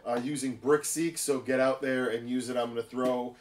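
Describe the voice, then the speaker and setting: A man talks casually as a co-host, in conversational phrasing. His voice sits at an average pitch, and the room around him adds a clear echo. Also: steady tone, moderate pace, a little mellow, slight background noise. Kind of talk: casual chat about deals and collectibles. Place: room with glass display cases, indoors. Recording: echoey room, table setup.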